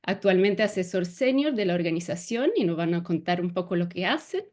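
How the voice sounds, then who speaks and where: A woman's voice: on the low side, lively intonation, brisk, a little mellow. A woman with a Latin American Spanish accent hosting a webinar, reading a formal introduction of a guest with a few light fillers. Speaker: webinar moderator. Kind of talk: scripted introduction.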